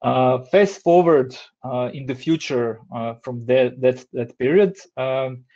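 A man in his thirties speaks English with a Croatian accent, easygoing and halting, with plenty of "uh"s. His voice comes through a headset on a video call. The sound is a bit dull and lo-fi. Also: mid-range voice. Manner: relaxed, hesitant with many fillers. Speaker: design lead giving a talk. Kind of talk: online presentation. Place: home room, indoors.

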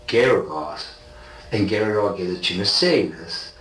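A man in his forties from South Africa, rambling in rushed bursts while being questioned. His somewhat low voice is picked up from a distance in a bare, echoey room with a faint hiss underneath. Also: expressive, fairly bright tone. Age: 40s. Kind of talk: police interrogation. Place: small bare interrogation room. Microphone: far ceiling camera.

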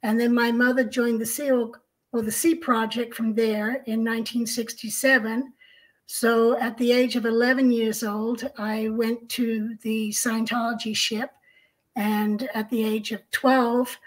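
A middle-aged woman from Australia, co-hosting a video-call chat at home, telling her story in a calm, measured way. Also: on the high side, rough recording. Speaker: co-host. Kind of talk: casual interview chat. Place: home room, indoors.

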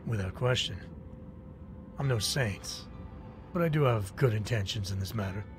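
An actor playing a gracious older mercenary patron in a video game cutscene, speaking in a measured, formal, articulate way. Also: male voice, lowish voice, over music, close to an everyday voice. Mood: earnest, measured.

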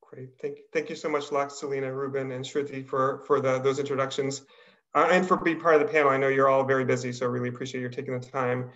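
A middle-aged man hosting an online panel, speaking in a halting, polite, apologetic way over a video call. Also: medium pitch, flat delivery, a bit bright. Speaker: admissions dean hosting a panel. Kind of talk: hesitant unscripted welcome on a webinar. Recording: slightly dull video-call audio.

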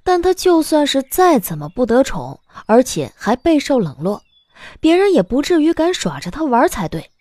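Female storyteller for scripted drama narration, fairly high pitch, expressive and varied delivery. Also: moderate pace, no echo, studio quality.

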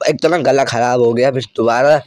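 A young man with a hoarse, worn-out throat, hosting a gaming channel and commenting excitedly on his own match while often clearing his throat. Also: mid-range voice, a little fast. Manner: excitable, frequent throat clearing, lots of "bhai". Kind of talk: live gameplay commentary.

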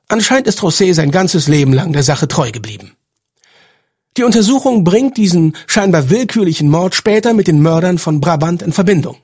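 A man's voice: fairly high pitch, a little mellow, slightly rough. A man hosting a podcast, reading scripted narration.

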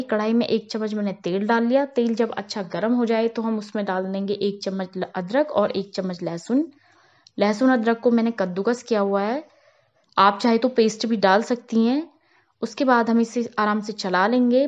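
A woman with a fairly high voice narrates a home cooking tutorial, explaining each step in a calm, instructional way. The voice-over sounds a little dull and lo-fi. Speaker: cooking channel host. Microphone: voice-over, not on camera.

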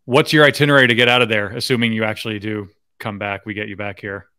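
A man's voice: fairly low pitch, steady tone, quick pace, sharp tone, smooth, no room sound, studio quality. A man in his thirties co-hosting a sports podcast, speaking casually into a desk microphone indoors. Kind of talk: casual postgame sports chat.

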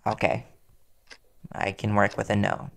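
A man playing a worried, devoted young reporter boyfriend in an intimate audio roleplay, speaking earnestly and soothingly with hesitant pauses. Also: fairly low pitch, completely flat delivery, gravelly, a bit bright. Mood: accepting, reassuring.

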